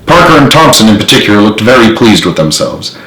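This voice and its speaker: A man's voice: on the low side, very animated, fast talker, a bit bright. A man reading his own story as scripted narration, in a roomy recording. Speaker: author narrating own book.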